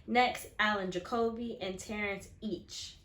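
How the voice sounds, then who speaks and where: A woman teacher reading a picture book aloud to children expressively, with deliberate, instructive asides and questions. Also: mid-range voice, fairly even intonation, fairly bright tone, a little hiss or hum. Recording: echoey room.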